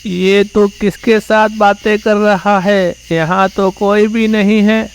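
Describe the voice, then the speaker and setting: A man playing an anxious, pleading drunkard who frets over his friend in an animated horror story. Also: high-pitched, slow pace, a little hiss or hum. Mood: puzzled.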